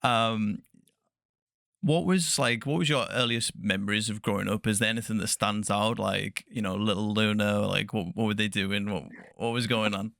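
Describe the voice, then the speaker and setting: A man hosting a soft-spoken interview podcast, chatty and laughing often, correcting himself as he goes. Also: lowish voice, steady tone, moderate pace, gravelly, dry recording, clean studio sound. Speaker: podcast host.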